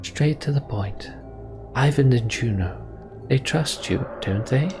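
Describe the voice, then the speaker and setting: A man voicing a scheming female healer in a game, sly and confiding, with drawn-out phrases. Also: mid-range voice, music in the background, pitched above the performer's own voice, lightly acted. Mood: conspiratorial. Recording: background noise.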